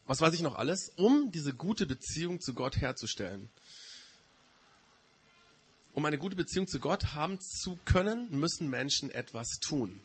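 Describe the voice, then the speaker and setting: A man's voice: a little high, very animated, normal speed, slightly rough. A man with a slight head cold gives an informal sermon, explaining and often rephrasing his key points. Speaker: preacher. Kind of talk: informal sermon to a church community.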